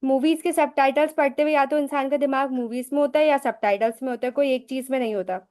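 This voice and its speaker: A woman with a high, light voice hosts a live language lesson. She talks casually, asks herself questions and answers them, and slips English phrases into her explanations. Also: fairly even intonation, fast talker, darkish tone. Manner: chatty, explains with rhetorical questions and personal examples. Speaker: English teacher hosting a live session. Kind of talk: casual live teaching talk.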